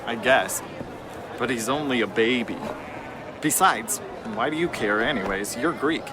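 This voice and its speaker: A man's voice: mid-range voice, measured pace, a crowd in the background, noisy background, lightly acted. A man playing a cynical, ambitious young runaway slave, brash and dismissive, in a family audio drama.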